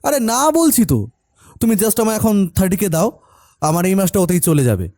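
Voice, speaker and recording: A man's voice: high-pitched, sing-song, moderate pace, warm tone. A man playing a whiny, defensive young spendthrift son in an audio detective drama, wheedling and insistent. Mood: defensive, demanding. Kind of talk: audio detective story acting.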